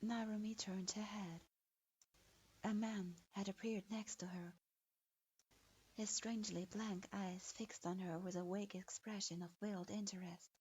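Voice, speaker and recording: Slow, husky woman reading a story. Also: mid-range voice, steady tone, a little mellow. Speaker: narrator. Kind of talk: reading fan fiction aloud. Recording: dull lo-fi recording.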